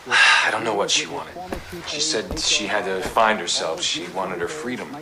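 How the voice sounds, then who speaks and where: An actor playing a remorseful, listless fiancé in his late twenties in an audio drama, speaking haltingly. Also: mid-range voice, expressive, crowd noise, poor audio. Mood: downcast. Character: remorseful, listless 29-year-old bachelor fiancé.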